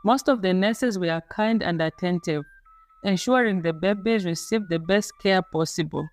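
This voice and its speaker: A woman reading a scripted folktale as a storyteller, in a measured, dramatic storybook delivery. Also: lowish voice. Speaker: storyteller, narrator. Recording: music playing under the voice. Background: background music.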